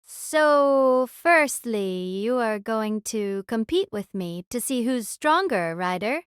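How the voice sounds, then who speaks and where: A man's voice: very high-pitched, animated, slow pace, a bit bright, clear, resonant tone, no room sound, pitched above the performer's own voice, full character voice. A man acts out a young warrior queen for an audio drama. The voice is lifted well above his own, proud and formal, with a stern edge. The recording is dry. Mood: challenging. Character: proud, stern young female knight king. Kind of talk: audio drama character reading.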